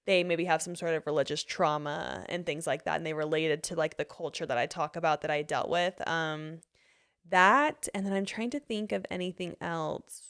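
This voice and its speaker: A woman's voice: low-pitched, normal speed, clear, resonant tone. A woman chatting casually as a guest, her voice a little worn and slipping. She talks loosely, filling gaps with "like" and letting thoughts drift off. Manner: relaxed, many "like" fillers, trailing off. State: slightly losing her voice. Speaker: podcast guest, author. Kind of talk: casual interview chat.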